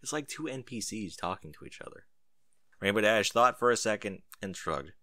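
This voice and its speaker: A man's voice: lowish voice, expressive, moderate pace, sharp tone, no echo. A man reading fan fiction aloud as a dramatic narrator, with a sardonic manner and mocking asides.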